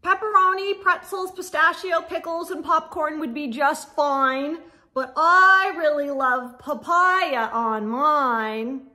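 A woman voicing an alligator party guest in a children's storybook, emphatic and deliberate. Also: high voice, sharp tone, exaggerated voice. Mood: eager.

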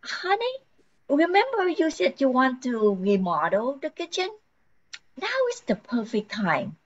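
Dull sounding audio, older lady acting a flustered pleading housewife in a radio play, high voice, super animated. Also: measured pace, exaggerated voice. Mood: coaxing. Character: frantic, forgetful housewife, anxious and pleading.

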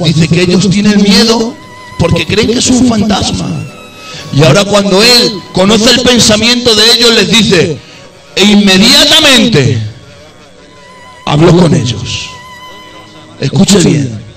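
A man preaching a sermon, passionate, dropping to quiet low tones and then rising to shouted peaks. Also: big pitch swings, measured pace, distant mic, faint music behind. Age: adult. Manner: impassioned, swings between low intimate tones and high shouted peaks.

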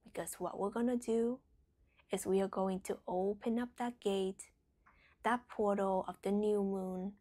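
Slow, hushed female meditation guide. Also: mid-range voice. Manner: soothing, deliberate phrasing with breathy whispered endings.

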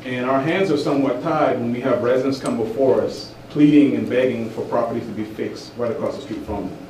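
A man's voice: mid-range voice, fairly even intonation, brisk, darkish tone, a little hiss or hum. A man addressing a council meeting as a board member, earnest and urging. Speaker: code enforcement board member. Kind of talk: public comment at a council meeting. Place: large council chamber. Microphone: podium microphone heard from a distance. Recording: echoey chamber, mic at a distance.

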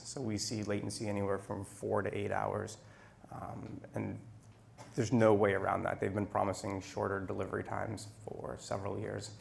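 A middle-aged man presents at a meetup, speaking into a handheld mic. His low voice is heavily gravelly, with lots of vocal fry. He talks in a measured, slightly halting way, filling pauses with ums. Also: a little hiss or hum. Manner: measured, frequent ums and restarts. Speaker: conference speaker. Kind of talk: technical presentation. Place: meetup room, indoors. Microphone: handheld microphone.

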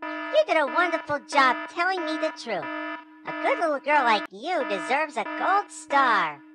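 Guy doing a super high fussy lady teacher, strict, swoopy voice, slow, music behind. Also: sing-song, heavily acted, rough recording. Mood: approving. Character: stern female schoolteacher, fussy and alarmed. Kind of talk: children's story character voice.

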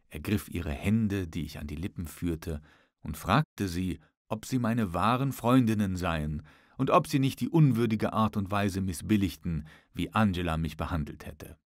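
A man reads literary prose as an audiobook narrator. His voice is fairly low and the recording is dry and close, without room sound. He tells the story in a measured, expressive way. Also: dry recording.

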